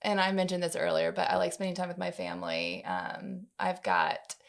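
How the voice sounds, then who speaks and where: Woman with a low, creaky voice. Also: very animated, moderate pace. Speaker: podcast guest, marketing manager. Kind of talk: conversational interview answers.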